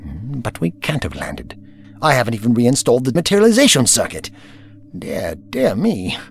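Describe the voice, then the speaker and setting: A man's voice: medium pitch, wildly swooping pitch, normal speed, gravelly, slight background noise. A man playing an aloof, vain time-travelling inventor in an audio drama, speaking in a formal, pompous, dismissive way. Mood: puzzled, agitated.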